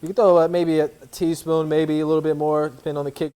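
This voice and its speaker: A man's voice: on the high side, flat delivery, fast, mellow, smooth. A man giving an informal, playful cooking demonstration, instructing as he goes. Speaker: athlete cooking a dish as guest chef. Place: indoor kitchen.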